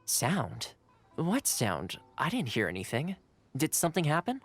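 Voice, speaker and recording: A man's voice: on the high side, wildly swooping pitch, measured pace, faint music behind, full character voice. A man playing an affable, courteous young retainer and guide in a video game, explaining things in a polite, easygoing way. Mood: puzzled. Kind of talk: video game dialogue acting.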